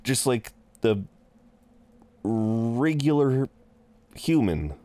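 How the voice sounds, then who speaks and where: A man playing a courteous, chatty incubus innkeeper in an intimate audio roleplay, with polite, hesitant pauses and a flirtatious streak. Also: medium pitch, big pitch swings, mellow. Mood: flustered, hesitant. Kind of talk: intimate scripted roleplay acting.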